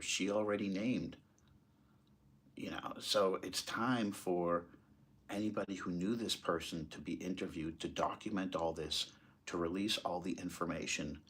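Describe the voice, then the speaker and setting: An American man with a very low voice delivers impassioned commentary. His tone is husky and grainy. He talks in halting, emphatic phrases, as if working the argument out while he speaks. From United States, moved there as a small child. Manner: halting, emphatic, rhetorical questions. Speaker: political commentator.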